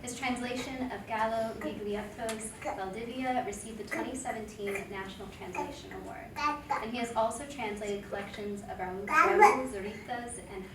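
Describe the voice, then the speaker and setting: A woman's voice: a little high, normal speed. A woman moderating a panel, steadily reading out a prepared introduction of a speaker. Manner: reads a prepared bio, steady. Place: lecture room. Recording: echoey room, distant mic, noisy.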